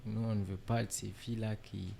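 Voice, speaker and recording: A man from Niger, a musician guest in a casual interview, relaxed and filler-heavy, dropping in English words. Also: fairly low pitch, brisk, creaky, slight background noise.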